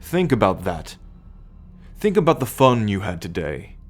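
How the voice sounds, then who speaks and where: A man playing a proud, possessive alien starship captain in an ASMR roleplay, speaking in a measured, formal, commanding way to the listener. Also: on the low side, sing-song. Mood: stern, challenging. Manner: measured, imperious, formal lecturing.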